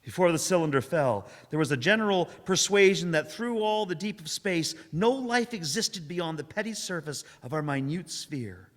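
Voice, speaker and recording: An actor playing a haunted professor who survived an alien invasion, narrating solemnly and theatrically in a live radio drama monologue. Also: male voice, on the high side, animated, lightly acted. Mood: reflective, solemn. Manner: solemn, theatrical, deliberate phrasing with suspenseful repetition. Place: live performance in a church hall. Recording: echoey hall, live stage recording.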